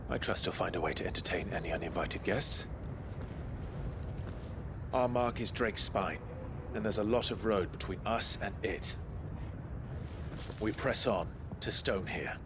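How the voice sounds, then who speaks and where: An actor playing a grave, resolute warrior hero in a video game, speaking in a terse, earnest, commanding way. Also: male voice, fairly low pitch, unhurried, long pauses, background noise, natural voice. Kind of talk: video game cutscene acting.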